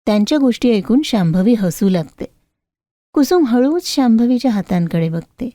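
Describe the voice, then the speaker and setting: A woman's voice: fairly high pitch, lively intonation, moderate pace, mellow, dry recording, studio quality. A woman narrating a scripted moral story as a storyteller.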